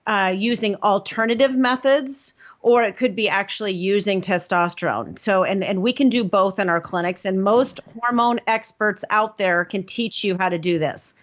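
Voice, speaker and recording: A woman's voice: medium pitch, moderate pace, few pauses. A woman hosting a health talk show, explaining with emphatic conviction. Her voice sits in a middle register, and the recording sounds a little dull and lo-fi. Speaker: host.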